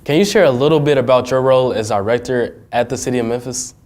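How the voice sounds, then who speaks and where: A man's voice: mid-range voice, fast, a little mellow. An American man working as an interviewer, delivering scripted introductions and prepared questions. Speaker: interviewer, host.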